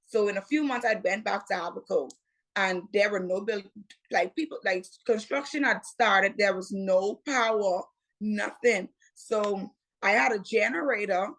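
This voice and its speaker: Slow-talking Bahamian woman in her twenties. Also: medium pitch, fairly bright tone, low-quality recording. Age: 20s. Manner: unhurried, repeats "what had happened was". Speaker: interview guest, entrepreneur. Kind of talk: casual interview storytelling.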